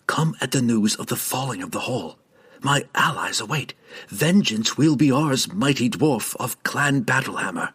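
A man voicing an intense, protective dark elf ranger in a fantasy audiobook, insistent and coaxing. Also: animated, moderate pace, breathy edge. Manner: low, insistent, coaxing delivery.

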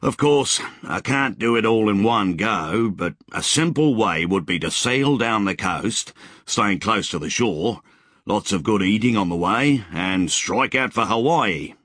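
A man's voice: fairly low pitch, animated, unhurried, fairly bright tone, slightly rough, no echo, studio quality, exaggerated voice. A man voicing a cheerful, grizzled older man with a breezy, slangy way of talking.

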